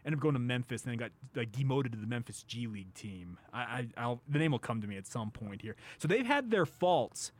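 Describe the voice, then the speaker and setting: A man with a mid-pitched voice hosts a casual sports talk show. He speaks in an emphatic, conversational way, slowing down to lean on the phrases that matter. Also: big pitch swings.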